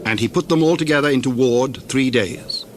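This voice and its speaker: A man narrates a dramatized scripture reading in archaic English. His voice is mid-pitched, and he delivers each phrase in a solemn, measured way. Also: a little hiss or hum.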